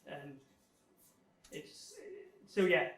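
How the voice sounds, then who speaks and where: A man giving an informal technical presentation, explaining things in a halting, thinking-aloud way as he answers questions. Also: a little high, fairly even intonation, a bit bright. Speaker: guest speaker giving a technical club talk. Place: club meeting room, live talk. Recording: echoey room, distant microphone.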